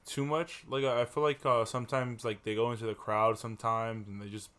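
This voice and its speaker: A young man with a bright, crisp edge to his mid-pitched voice. He chats in a relaxed, slangy way, calling his friends bro and dog, and often lets sentences trail off. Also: fairly quick. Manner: slangy, laid-back, trails off. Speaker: podcast co-host. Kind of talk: casual chat.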